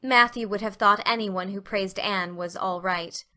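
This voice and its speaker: A woman's voice: mid-range voice, measured pace, pushed and bright. A woman reading scripted narration for an audiobook story.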